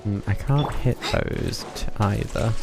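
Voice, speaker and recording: A man's voice: fairly low pitch, unhurried, gravelly. A man giving casual, understated live commentary as he plays a game, muttering to himself. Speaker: game streamer. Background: game music.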